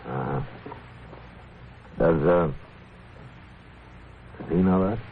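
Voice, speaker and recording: An American man playing a calm, laconic, fair-minded frontier marshal in a 1950s radio western, speaking and narrating in an unhurried way. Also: deep voice, slight background noise, exaggerated voice. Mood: calm, concerned. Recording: tinny old radio recording, music behind.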